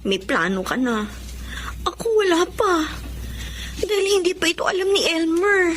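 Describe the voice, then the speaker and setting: An actress playing an earnest, dutiful young daughter in a Filipino melodrama, with a polite, pleading manner. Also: female voice, very high voice, sing-song, moderate pace. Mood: confiding. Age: young adult.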